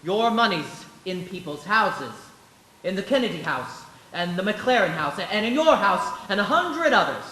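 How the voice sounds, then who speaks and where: Male actor as earnest young banker, stammering and insistent, high bright voice, radio play, echoey stage. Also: sing-song, normal speed, exaggerated voice. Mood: urgent pleading. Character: earnest, pleading young banker, decent and excitable. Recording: echoey stage with faint noise.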